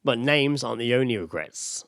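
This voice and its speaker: A man's voice: mid-range voice, lively intonation, normal speed, a bit bright, no echo, clean studio sound. A man co-hosting a podcast, informal and blokey as he chats and reads things out. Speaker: podcast co-host. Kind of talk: casual chat, reading out odd news.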